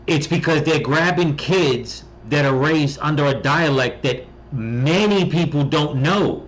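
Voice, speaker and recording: A man's voice: fairly high pitch, measured pace, rough recording. An American man giving casual reaction commentary in a blunt, emphatic way. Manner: blunt, emphatic, mildly profane. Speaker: video commentator.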